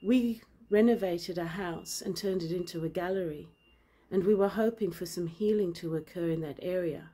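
A woman in her forties who grew up in Zambia, talking earnestly to a phone camera in a bright room with big windows, measured and then forceful. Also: fairly low pitch. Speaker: vlogger and author. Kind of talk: impassioned personal address to camera. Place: indoor room with large windows and plants. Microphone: phone camera at arm's length.

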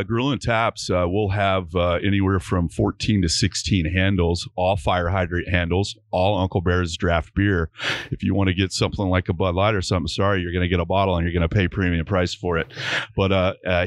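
A man's voice: low voice, fairly even intonation, fairly quick, no echo. A middle-aged man who owns a brewery, answering interview questions in a folksy, rambling way with many fillers, recorded on a microphone on a brewery floor. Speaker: brewery owner. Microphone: studio microphone on arm.

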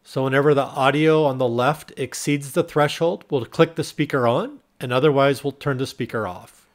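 A man's voice: medium pitch, normal speed. A man narrating a tech tutorial in a plain, instructional, step-by-step way. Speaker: tutorial presenter.

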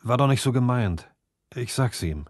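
A man's voice: medium pitch, expressive, normal speed, no room sound, clean studio sound, voice pushed up, close to an everyday voice. A man voicing a grudging, easily cowed village master craftsman in a fantasy audiobook. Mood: backpedalling. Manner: grudging, backpedalling, colloquial.

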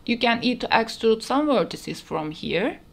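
A woman's voice: a little high, very animated, measured pace, vocal fry, breathy edge. A woman narrating a step-by-step 3D modeling tutorial in plain, instructional phrasing, recorded as a voice-over. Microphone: voice-over over screen recording.

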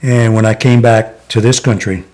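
An older man in his seventies from Connecticut, reminiscing slowly in a sit-down oral history interview, with many pauses and "uh" fillers. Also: on the low side, fairly even intonation, darkish tone. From Connecticut, USA. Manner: slow, reflective, many "uh" pauses. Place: living room, seated in armchair.

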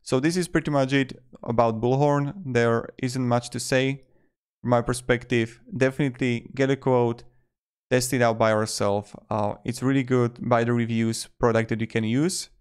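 Guy in his 20s doing a casual review, average pitched voice, loose wording, close desk mic. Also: steady tone, normal speed, clean tone. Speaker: host of software review video. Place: small indoor room. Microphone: desktop microphone close in front of him.

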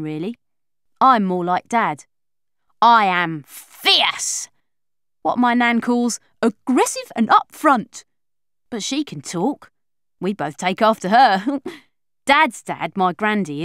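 A woman's voice: medium pitch, no echo, clean studio sound. A woman narrating a children's audiobook in the first person as a chatty, confiding young girl. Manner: chatty, confiding, lively schoolgirl voice.

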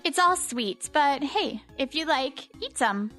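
A woman's voice: high voice, animated, takes it slowly, a bit bright, full character voice. A woman voicing a bossy but caring teenage delinquent girl in a manga comic dub, casual, blunt and teasing. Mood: breezy teasing. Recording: background music under the voice.

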